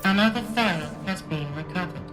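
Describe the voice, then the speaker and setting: A woman voicing a formal, impassive automated facility computer in a video game, measured and unemotional. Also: on the low side, over music, natural voice. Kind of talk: scripted video game system announcement. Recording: echoey, long hall reverb.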